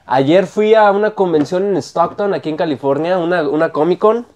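A Mexican man in his thirties talks in loose podcast banter. His voice sits a little high, and he keeps restarting words and laughing between them. It is close and clean through a lapel mic in a small studio. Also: moderate pace, darkish tone. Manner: stumbles over words, repeats and laughs often. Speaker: podcast co-host. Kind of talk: casual chat. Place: small studio with brick-wall set. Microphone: clip-on lapel mic.

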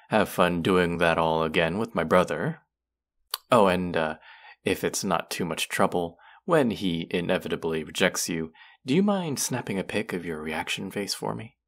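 A man's voice: low voice, lively intonation, normal speed, fairly bright tone, heavily acted. A man acting a condescending, mocking young twin brother in a roleplay audio drama, with a teasing, sarcastic drawl. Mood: mocking, scornful.

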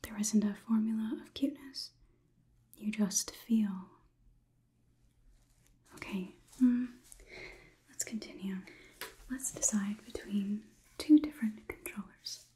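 A young woman whispering an ASMR roleplay close to the microphone as a detached robot overlord, in a deliberate, inquisitive, clinical manner. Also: mid-range voice, fairly even intonation, dark tone, husky. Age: young adult. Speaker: ASMR performer.

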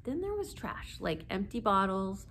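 A woman's voice: mid-range voice, very animated, unhurried, fairly bright tone, a little hiss or hum. A woman in her forties reading a children's story aloud expressively, seated indoors a short distance from the camera.

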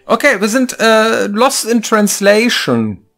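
A man's voice: high voice, very animated, slow pace, gravelly, a bit bright. A man co-hosting a casual studio chat, talking in a chatty way and throwing out rhetorical questions. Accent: Ruhr German. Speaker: co-host. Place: home studio with shelves.

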